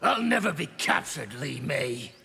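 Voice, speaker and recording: A brutal video game outlaw warrior who taunts with menace. The voice is extremely raspy and forceful, with lively swings of pitch. It rings through a big reverberant space. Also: male voice, a little slow, exaggerated voice. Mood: menacing, defiant. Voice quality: gravelly, raspy. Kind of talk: video game villain acting. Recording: reverberant game arena hall.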